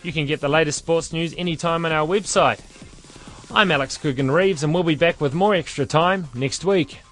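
A man's voice: a little high, fairly bright tone, soft background music. A man reading the sign-off for a sports radio show. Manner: brisk announcing read. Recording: voice set back from the mic.

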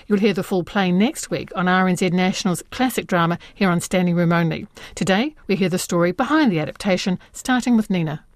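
A woman's voice: lowish voice, fairly quick, few pauses, no room sound. A woman hosting a radio arts programme, presenting and interviewing. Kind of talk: broadcast arts interview with scripted introduction.

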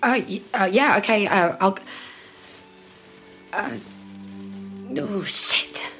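A woman's voice: mid-range voice, slow, fairly bright tone, faint music behind. An actress playing an exasperated, sceptical young female friend in a naturalistic audio drama. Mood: hurried, uneasy.

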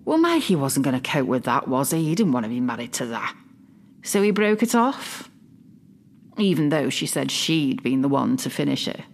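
A woman playing a possessive middle-aged mother in a confiding dramatic monologue, smug, mock-innocent and quietly malicious. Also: on the low side. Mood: coolly self-justifying. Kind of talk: dramatic monologue, confiding fiction podcast narration.

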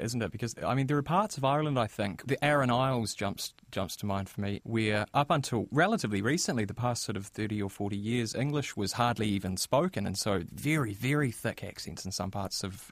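A man's voice: fairly low pitch, expressive, fast, hardly any pauses, dry recording. A man with a New Zealand accent hosting a podcast, conversational and curious. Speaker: podcast host. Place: radio studio.

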